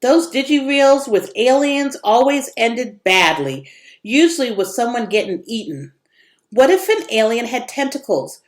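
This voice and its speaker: A woman reading a children's story aloud as expressive narration, with varied intonation. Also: a little high, unhurried. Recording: echoey room.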